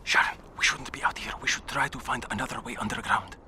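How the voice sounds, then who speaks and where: A man's voice: medium pitch, fairly even intonation, fast talker, bright, forceful tone, in a whisper. An actor playing an anxious, doubtful man, a survivor who persistently questions his leader, in an audio drama. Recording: faint background ambience.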